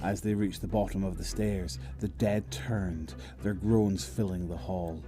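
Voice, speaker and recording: A low male voice , an actor's, narrates a grim zombie tale in an audio drama. The delivery is measured and descriptive, with music prominent underneath. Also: steady tone. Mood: grim, suspenseful.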